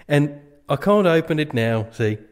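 A man's voice: fairly high pitch, animated, a little slow, clear, resonant tone. An English man playing a dithering, pedantic, deferential medieval gatekeeper in a comedy audio drama, rambling and fussy. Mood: fussy, placating.

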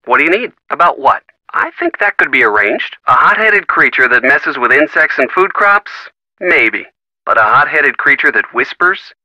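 An actor playing an easygoing, teasing young American boyfriend in a video game, casual and wry. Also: male voice, a little high, big pitch swings, normal speed, bright, forceful tone, dry recording, heavily acted, poor audio. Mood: helpful, casual. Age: young adult.

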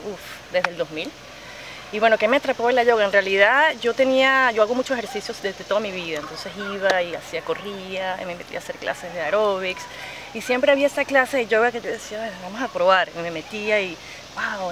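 A woman in her thirties with a Venezuelan Spanish accent, a yoga teacher, talks with lively gestures and frequent restarts. She is recorded outdoors by a park lake on a camera mic. Steady outdoor noise sits clearly behind her medium-pitched voice. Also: rough recording. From Chile. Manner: animated, gestures with her hands, many restarts. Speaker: yoga instructor. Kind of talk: outdoor interview.

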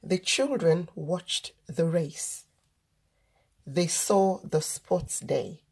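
A woman reading a children's storybook aloud, deliberately, word by word, as the host of a read-aloud. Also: lowish voice, darkish tone, breathy edge. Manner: deliberate, word-by-word delivery for early readers.

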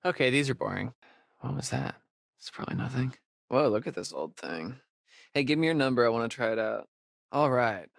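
A man's voice: medium pitch, animated, vocal fry, dry recording. Wisecracking young American guy, dry recording. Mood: casual, curious. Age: young adult. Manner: casual, sarcastic, halting asides. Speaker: video game voice actor. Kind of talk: naturalistic video game dialogue.

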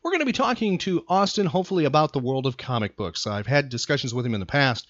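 A man hosting a podcast in casual banter with a co-host, talking in an animated, teasing way and repeating himself for emphasis. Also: medium pitch, quick pace, no echo, lo-fi sound.

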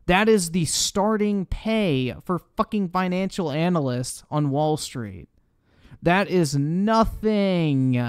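Slow-talking, profane man reacting. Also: on the high side, smooth, dry recording, clean studio sound. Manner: profane, blunt, slangy. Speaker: livestreamer reacting to a video. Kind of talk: casual reaction commentary.

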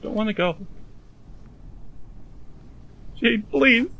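A man playing a frightened, despairing young man in an emotional fan-dub drama, confiding haltingly and pleading. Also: very high voice, gravelly, muffled recording, full character voice. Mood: terrified, pleading.

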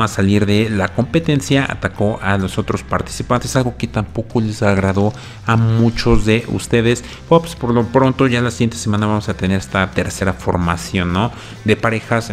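A man hosting a video channel in a casual, conversational way, commenting off the cuff and often checking in with tag questions. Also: fairly low pitch. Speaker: YouTube host recapping reality TV. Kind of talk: casual scripted-free commentary to subscribers.

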